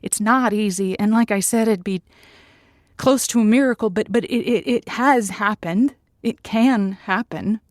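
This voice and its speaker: A woman's voice: mid-range voice, unhurried, no echo, clean studio sound, lightly acted. An actress playing an earnest, emotionally invested radio advice host in an audio drama, stammering and emphatic as she searches for words. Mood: solemn, hopeful. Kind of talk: naturalistic audio drama acting.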